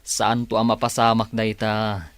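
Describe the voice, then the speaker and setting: A man's voice: lowish voice, monotone, normal speed, fairly bright tone, natural voice. A man playing a scheming, gloating villain in a radio drama.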